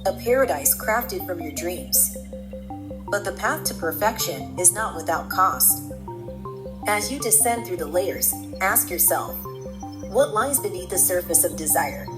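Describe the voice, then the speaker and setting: A woman playing the calm, ominous, enigmatic guide of a virtual simulation in a radio drama, speaking in a measured, solemn way. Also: lowish voice, over music. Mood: solemn welcome.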